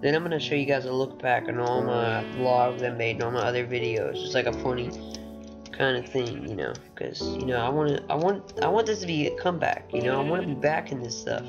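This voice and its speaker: Teen guy rambling over a block game, gravelly deepened voice, music going underneath. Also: brisk, dull audio. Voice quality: voice recently deepened. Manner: rambling, chatty, laughs often. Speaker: gaming channel host. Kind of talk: casual gameplay commentary.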